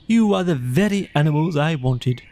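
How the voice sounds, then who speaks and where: Man putting on an excitable high-pitched boastful toad. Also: moderate pace. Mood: jovial, pleading. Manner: exuberant, pompous, emphatic exclamations. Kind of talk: children's audiobook character voice.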